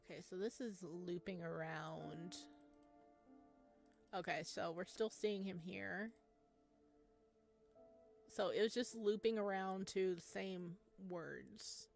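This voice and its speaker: A woman's voice: on the low side, slow pace, vocal fry, long pauses, a little mellow. A woman doing a casual let's-play, reading game narration aloud dramatically and commenting as she goes. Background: music.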